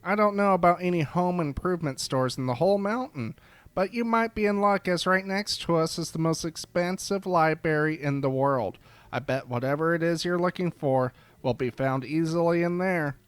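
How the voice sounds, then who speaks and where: A man voicing a cheerful cat-eared barista creature with a bright, chirpy manner. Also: a little high, moderate pace, clear, resonant tone, no room sound, voice pushed up, natural voice.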